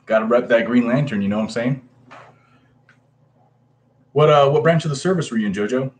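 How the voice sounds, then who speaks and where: A man's voice: medium pitch, a little fast. A man in his thirties casually chatting on a livestream, greeting viewers by name with lots of hesitant fillers. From Hawaii. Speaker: comic book livestreamer.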